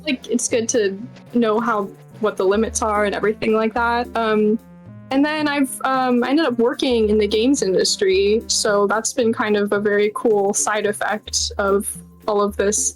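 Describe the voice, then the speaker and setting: A woman's voice: on the high side, steady tone, unhurried, faint music behind, rough recording. A young woman chatting casually as an interview guest, with a bubbly, enthusiastic manner. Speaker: game developer guest.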